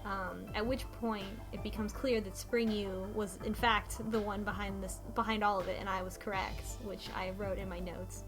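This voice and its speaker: A woman's voice: medium pitch, flat delivery, normal speed, hardly any pauses, over music. A woman co-hosting a podcast, casually retelling a story from notes in an informal, sweary, mock-dramatic way. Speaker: podcast co-host recapping a game.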